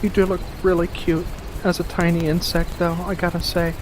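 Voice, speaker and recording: A man voicing a playful, mischievous furry witch of the woods in a soft-spoken, teasing ASMR roleplay, full of gentle endearments. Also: high voice, monotone, moderate pace, noisy background, close to an everyday voice. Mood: amused, apologetic.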